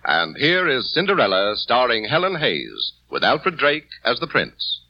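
Lively male radio announcer. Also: mid-range voice, a little slow. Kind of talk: scripted radio narration. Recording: dull lo-fi radio with music.

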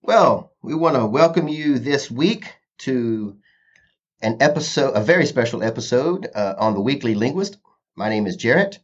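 A man's voice: medium pitch, expressive, normal speed, slightly rough, dull audio. A man hosting a podcast in casual chat, rambling with many fillers and restarts. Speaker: podcast host.

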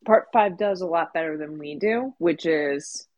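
A woman's voice: low voice, measured pace, a little mellow, muffled recording. A woman co-hosting a podcast, discussing fiction in a casual, conversational and emphatic way. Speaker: podcast co-host.

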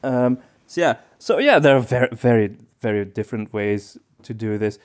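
A man co-hosting a casual podcast, speaking in a halting way as he thinks aloud. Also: medium pitch, lively intonation. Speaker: podcast co-host.

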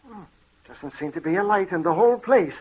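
An actor playing an anxious, deferential manservant in a 1930s radio drama, polite and formal. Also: male voice, sits high, expressive, a little fast, breathy edge. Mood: nervous. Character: deferential male servant, anxious and uneasy. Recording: tinny, faintly hissy 1930s radio recording.

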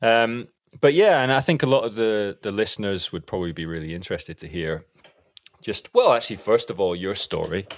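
A man's voice: lowish voice, a little fast, no echo. A young man with a mixed Hull and Scottish accent, hosting a podcast and chatting casually, with lots of restarts. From born in Hull, raised in Scotland. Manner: chatty, with frequent restarts and fillers. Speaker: podcast host. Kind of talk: casual interview chat.

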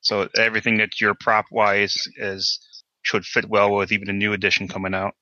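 A man's voice: on the low side, completely flat delivery, moderate pace, pushed and bright, no room sound. A man hosting a podcast interview in casual conversation, recorded in dull lo-fi sound. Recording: dull, dry lo-fi microphone sound.

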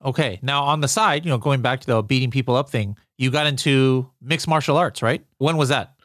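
A man's voice: medium pitch, brisk, a bit bright, no echo, studio quality. A man hosting a podcast interview, upbeat and jokey, with frequent fillers. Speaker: podcast host. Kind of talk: casual interview chat.

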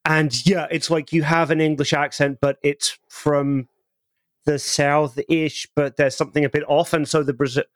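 A man on a podcast panel, talking casually and thoughtfully, pausing to find his words. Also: fairly high pitch, level tone, no echo, studio quality. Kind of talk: casual chat.

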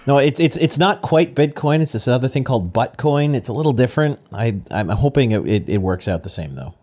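A man's voice: mid-range voice, animated, a little fast, a little mellow. A man joining in a podcast's casual banter as a co-host.

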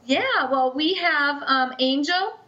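Woman, podcast host, upbeat, chatty, bright sharp voice, dull lo-fi sound. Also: high-pitched, expressive, slow. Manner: upbeat, chatty, laughs easily. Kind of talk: casual podcast chat. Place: recording studio with guests.

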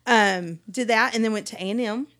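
A woman's voice: a little high, a little fast, a bit bright. A middle-aged woman, casually telling her life story as a podcast guest. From East Texas, United States.

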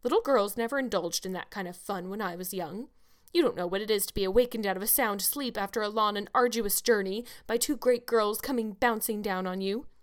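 Storybook role: prim, wealthy old lady, acted by a female narrator, sharp-tongued, severe and haughty. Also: a little high, sing-song, takes it slowly, few pauses, heavily acted. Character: stern, rich, prim old spinster aunt.

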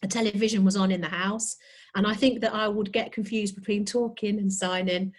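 A woman's voice: mid-range voice, steady tone, a little fast. A middle-aged woman, a sign language interpreter, talking openly as a podcast guest from home. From United Kingdom. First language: British Sign Language. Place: home, lockdown remote call.

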